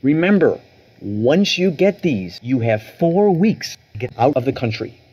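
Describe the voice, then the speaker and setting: A man plays a brusque clerk at a ticket office in a radio drama. His pitch is middling and his manner formal and firm. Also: very animated, a little slow, darkish tone, slightly rough. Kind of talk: radio play acting.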